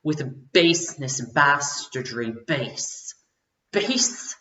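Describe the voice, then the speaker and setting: Young woman acting a low-voiced, defiant Shakespearean bastard. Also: animated, fairly bright tone, full character voice. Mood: bitter, indignant. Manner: deliberate, declamatory, biting. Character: defiant, resentful scorned bastard of Shakespearean tragedy. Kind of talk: Shakespearean soliloquy. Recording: roomy, dull sound.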